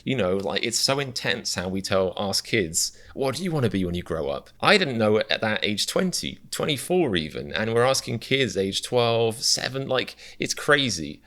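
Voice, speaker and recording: A man's voice: fairly low pitch, sing-song, moderate pace, few pauses, fairly bright tone. A young British man hosting a reaction video, musing in a reflective, self-deprecating way with a lot of restarts. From Britain. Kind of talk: casual rambling commentary.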